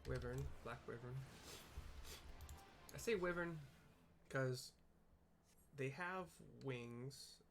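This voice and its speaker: A man streaming a video game, casually describing scenes and chatting in halting, piecemeal phrases. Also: mid-range voice, a little hiss or hum. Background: video game music.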